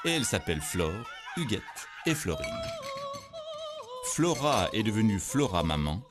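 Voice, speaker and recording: A man tells a children's cartoon tale as a theatrical storyteller. His delivery swings widely and vividly in pitch, with music playing underneath. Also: unhurried. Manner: expressive, theatrical storytelling with acted voices. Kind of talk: scripted children's story narration.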